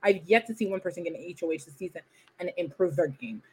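A woman whose first language is French, co-hosting a casual podcast chat in a playful, animated way, acting out quotes. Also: lowish voice, fast talker, breathy edge, low-quality recording. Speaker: podcast co-host.

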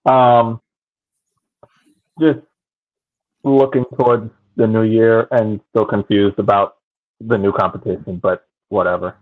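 A man on a podcast panel, chatting casually and haltingly over thin call audio. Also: on the low side, flat delivery, narrow, tinny audio. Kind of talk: casual chat.

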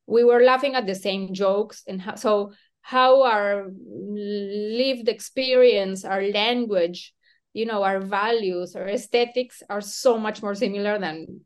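Woman author from Peru, mid-pitched, hesitant academic chat with restarts. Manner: hesitant, self-questioning, with many restarts and soft laughs. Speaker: author interviewed about her book. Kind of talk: thoughtful academic conversation.

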